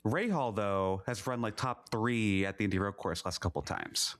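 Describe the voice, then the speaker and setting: A man's voice: fairly low pitch, moderate pace, fairly bright tone. A man co-hosting a podcast, a bit sick and slightly nasal, with dry humor in casual chat. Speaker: podcast co-host. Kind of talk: casual chat and show outro.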